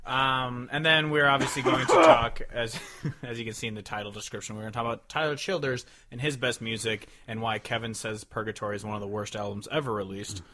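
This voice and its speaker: A man's voice: medium pitch, brisk, pushed and bright. A man hosting a podcast in casual chat, informal and joking, with some swearing. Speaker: podcast host.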